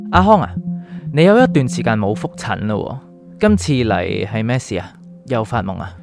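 An actor playing a calm, enigmatic doctor in a radio drama, speaking Hong Kong Cantonese in a measured, probing way. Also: male voice, on the low side, gravelly. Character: calm, cryptic male doctor with a secret identity. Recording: music underneath.

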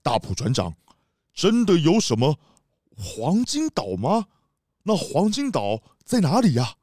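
A man's voice: a little high, huge pitch swings, a little slow, a little mellow, no echo, clean studio sound, heavily acted. An actor playing a jovial, reassuring city mayor who addresses his guests like a grand host in a children's mystery audio drama. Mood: inquiring, trusting.

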